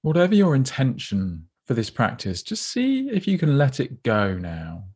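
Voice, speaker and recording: Unhurried man guiding a meditation. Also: mid-range voice, huge pitch swings, husky. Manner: gentle, unhurried, instructive, with pauses. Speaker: meditation guide. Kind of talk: guided body scan meditation.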